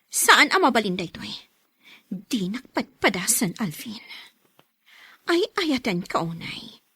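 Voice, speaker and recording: A woman with an Ilocano accent playing a hurt, pleading young wife in an audio drama, in a husky voice. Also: on the high side, a bit bright, no echo, clean studio sound, full character voice. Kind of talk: Ilocano radio drama acting.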